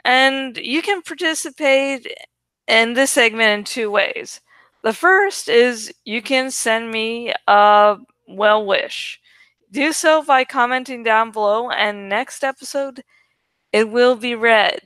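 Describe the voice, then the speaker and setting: Slow-talking bright-voiced woman host. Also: on the high side, clean tone, no room sound. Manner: casual, swears freely, laughs between remarks. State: not feeling fully well. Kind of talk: casual chat to camera.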